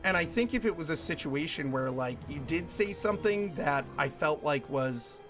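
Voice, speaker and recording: Brief: man in his 40s, a bit higher-pitched, loose rambling streamer banter, faint music underneath. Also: animated. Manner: chatty, rambling, quick to laugh. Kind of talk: casual live-stream chat while gaming.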